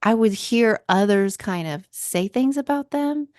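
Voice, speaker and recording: A woman's voice: medium pitch, lively intonation, a little slow, a little mellow, no echo, studio quality. A woman co-hosting a podcast in casual chat, laughing often and trailing off into fillers and restarts. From Spanish family, mother from southern Spain. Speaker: podcast co-host.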